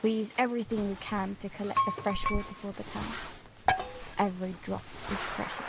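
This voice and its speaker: A woman's voice: medium pitch, little pitch movement, moderate pace, creaky, over loud music, close to an everyday voice. A young woman playing an earnest, restless daughter narrating life aboard a boat in an intimate, confiding audio drama style. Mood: reflective, confiding.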